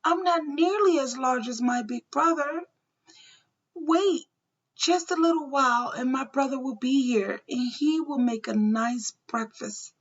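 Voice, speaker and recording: A woman voicing a middle-sized, slightly nervous male billy goat in a storybook, earnest, polite and measured. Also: on the high side, distant mic, highs cut off. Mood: nervous, pleading.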